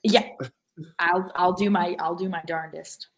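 A woman's voice: on the low side, fairly even intonation, a little slow. A woman on a podcast panel, chatting casually in a wry, joking manner. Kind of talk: casual chat.

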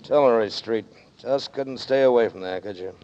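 An actor playing a menacing hard-boiled gunman who talks in a slow, sneering, coolly threatening way, in a 1940s radio drama. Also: medium pitch, loud music behind the voice, close to an everyday voice. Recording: dull old radio broadcast.

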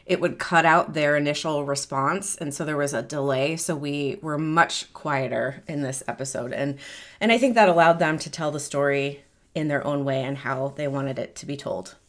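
A woman co-hosting a podcast, talking in a relaxed way with many fillers. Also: low voice, normal speed. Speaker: podcast co-host. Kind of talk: casual podcast talk.

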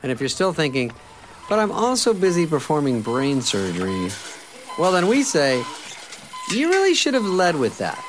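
Excitable male ad announcer over music. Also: fairly high pitch, normal speed, poor audio. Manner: punchy, excitable. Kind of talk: upbeat scripted radio ad. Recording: voice over clear music bed.

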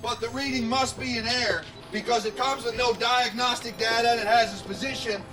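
A man playing an urgent, dutiful operations technician in a sci-fi audio drama, reporting in brisk technical language. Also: mid-range voice, steady tone, distant mic, natural voice.

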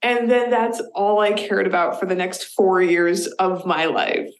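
A woman's voice: mid-range voice, measured pace. A woman from the Boston area, a comedian guest chatting casually in an interview, telling stories with lots of "like"s. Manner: chatty storyteller, many "like"s. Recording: roomy echo.

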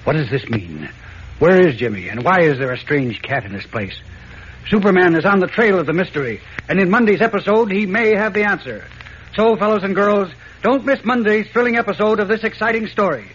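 A man's voice: sits high, lively intonation, fairly quick, fairly bright tone. Old radio narrator guy, dramatic and suspenseful, dull sound, bit of hiss. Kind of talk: 1940s dramatic scripted narration. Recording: dull old radio recording, faint noise.

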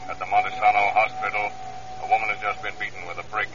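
A man's voice: fairly low pitch, flat delivery, fast, music in the background, close to an everyday voice. A man playing a police radio dispatcher, reading clipped official bulletins in 1930s radio style. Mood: flat, official. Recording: dull, very noisy old radio recording.

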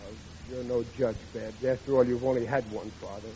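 A man's voice: medium pitch, fairly even intonation, fairly quick, warm tone, natural voice. An actor playing a melodramatic, woeful middle-aged working-class father in 1940s radio comedy style, whining and ungrammatical. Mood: gloomy self-pity. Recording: dull noisy old radio recording.

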